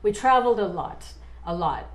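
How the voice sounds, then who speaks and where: A woman in her forties, a guest in a casual interview, talking in a halting way with many fillers and restarts. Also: medium pitch, lively intonation, slight background noise, rough recording. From born in Australia, raised in India and Canada.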